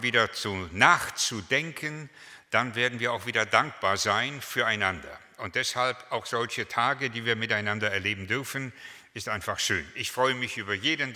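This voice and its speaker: A man in his seventies preaches in an easy, anecdotal way, mixing humor into his sermon. His voice is very bright and pushes forward. The sound rings out with a long reverb from the hall. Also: mid-range voice. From Germany. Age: 70s. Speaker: pastor.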